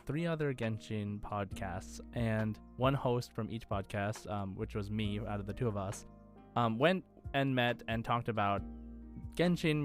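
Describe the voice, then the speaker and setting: A man hosting a podcast in a casual, chatty style with an upbeat, enthusiastic manner. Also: fairly low pitch. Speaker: podcast host.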